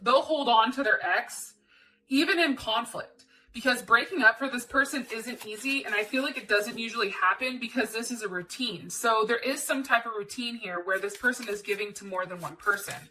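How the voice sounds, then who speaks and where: A woman's voice: a little high, normal speed, pushed and bright. A woman giving a casual, candid tarot reading as a channel host, chatting informally and repeating phrases for emphasis. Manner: candid and informal, with mild swearing. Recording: distant mic, background noise with clicks.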